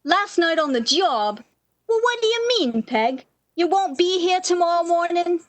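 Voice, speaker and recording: Woman playing a high-voiced, wisecracking 1930s switchboard dame. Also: expressive, unhurried, no room sound. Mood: breezy teasing. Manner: slangy, teasing, worldly-wise, uses endearments. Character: brassy, wisecracking, motherly night switchboard operator woman, 1930s. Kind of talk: 1930s radio acting.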